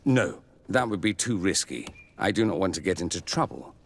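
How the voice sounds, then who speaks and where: Lively, wary camp doctor. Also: male voice, low-pitched, moderate pace, heavily acted. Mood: wary, confiding. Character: cautious, respectful army camp doctor. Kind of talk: video game dialogue acting.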